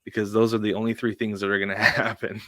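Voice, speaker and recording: Low-voiced man chatting about sports. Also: little pitch movement, quick pace, pushed and bright, a little hiss or hum. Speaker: sports podcast co-host. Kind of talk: casual baseball chat with anecdotes.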